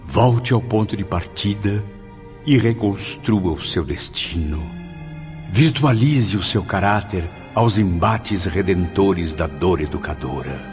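A deep-voiced male spirit in a radio drama, solemn and grateful. His delivery is florid and declamatory, as if reciting weighty memories. Music plays underneath. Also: expressive, takes it slowly. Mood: sorrowful, solemn.

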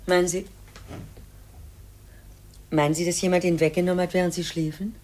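An actress in a 1950s German radio play, playing an unruffled, evasive housekeeper who soothes and brushes things off colloquially. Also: female voice, on the low side, level tone, a little fast, a little mellow, slight background noise, close to an everyday voice. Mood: dismissive, soothing. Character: unruffled, evasive housekeeper, matter-of-fact and dismissive.

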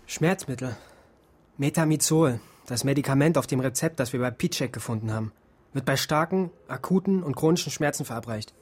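A man's voice: medium pitch, fairly quick, slightly rough, natural voice. A man acting a matter-of-fact police colleague reporting evidence in a radio crime drama. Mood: matter-of-fact, sceptical.

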